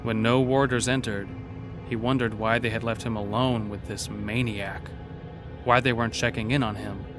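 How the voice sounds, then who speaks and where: A man's voice: fairly low pitch, fairly even intonation, normal speed, music in the background. A man narrating a scripted horror story in a dramatic storytelling style.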